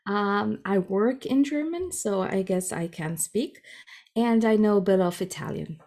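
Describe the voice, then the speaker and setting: A woman's voice: medium pitch, a little slow, a little mellow. Chatty, giggly female interview guest, Croatian accent, casual conversational delivery, mid-range voice.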